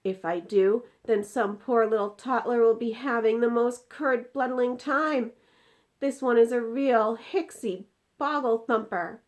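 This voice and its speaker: A woman's voice: a little high, unhurried, voice pushed up, lightly acted. A woman voicing an indignant, distressed giant from a storybook, exclaiming in mangled grammar and drawing out words emphatically. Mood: frantic, anguished.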